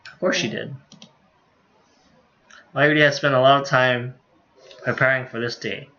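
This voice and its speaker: Male game streamer, mid pitch, casual read-aloud of story dialogue, muffled lo-fi sound. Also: steady tone, measured pace, sharp tone. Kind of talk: reading visual novel dialogue aloud, casual commentary.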